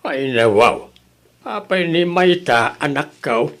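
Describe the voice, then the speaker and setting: Man acting a lively-pitched, mild Ilocano father. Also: slow pace, heavily acted. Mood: advising. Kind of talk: Ilocano comedy audio drama acting.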